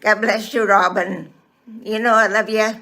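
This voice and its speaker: A woman of ninety giving halting, heartfelt blessings in a casual family chat. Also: mid-range voice, steady tone. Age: 90s. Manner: slow, halting, warm blessings. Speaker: guest.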